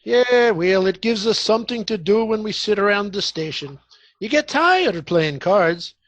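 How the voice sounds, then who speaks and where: A man plays a genial neighbourhood cop in a radio comedy, chatty and deferential. His voice is high and very animated, with a close, dry sound. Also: normal speed, no echo. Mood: folksy, chatty. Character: genial, folksy neighbourhood police officer. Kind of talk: radio comedy theatre acting.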